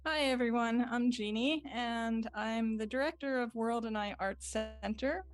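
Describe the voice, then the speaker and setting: A woman's voice: a little high, unhurried, soft background music. A woman arts director casually introducing herself and announcing her upcoming work.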